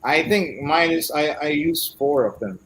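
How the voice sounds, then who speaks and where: Male host, middle-aged, Filipino accent, higher-pitched voice, casual online webinar chat. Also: lively intonation, measured pace, fairly bright tone, slight background noise. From Philippines. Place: indoor room, video call.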